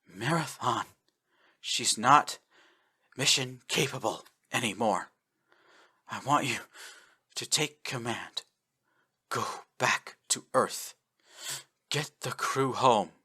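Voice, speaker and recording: Guy doing a dying old admiral, raspy, soft, slow and halting. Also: mid-range voice, full character voice. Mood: resigned. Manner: slow, halting, quiet, breaking off mid-sentence. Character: aging male admiral, dying, fading over comms.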